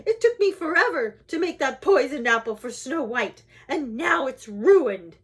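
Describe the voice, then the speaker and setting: A woman's voice: high voice, big pitch swings, measured pace, voice pushed up. Screeching wicked old queen. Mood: screeching fury. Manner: screeching, furious. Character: wicked old queen in disguise, shrieking villain.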